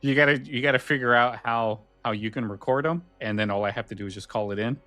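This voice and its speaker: A man's voice: mid-range voice, quick pace, bright, forceful tone, soft background music. A man chatting casually as a podcast host, rambling and a little hesitant. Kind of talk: casual chat.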